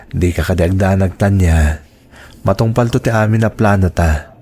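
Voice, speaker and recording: A man's voice: low-pitched, moderate pace, mellow, slight background noise. An actor playing a reassuring, conciliatory young husband who defers to his father, in a radio-style drama.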